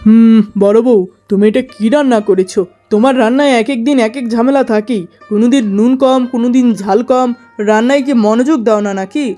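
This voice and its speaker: A woman's voice: fairly high pitch, a little fast, warm tone, soft background music, lightly acted. A woman voicing a domineering, fault-finding merchant husband in a cartoon story, stern and complaining. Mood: stern, menacing. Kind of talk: melodramatic cartoon story acting.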